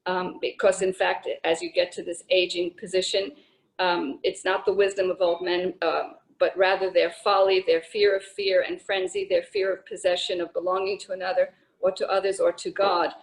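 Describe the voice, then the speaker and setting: A woman taking part in an unscripted poetry discussion, speaking in a halting but blunt and opinionated way. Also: lowish voice, little pitch movement, low-quality recording.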